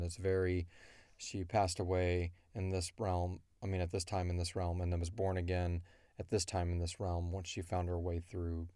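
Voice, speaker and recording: Low-voiced man chatting on a podcast. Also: warm tone, no echo. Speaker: podcast co-host and audio drama creator. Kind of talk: casual podcast chat.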